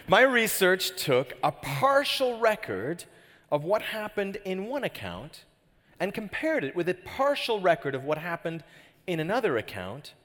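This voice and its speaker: A man's voice: fairly high pitch, fairly bright tone. A middle-aged Canadian man preaching a sermon as pastor, chatty and joking, often asking the crowd questions. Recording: hall reverb.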